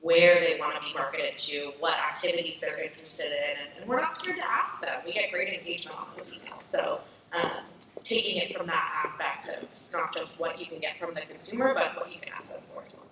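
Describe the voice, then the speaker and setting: A woman's voice: sits low, brisk. A woman speaking fluently as a marketing panelist, often saying "you know". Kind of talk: panel discussion. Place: stage at a summit. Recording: echoey hall, distant mic, noisy.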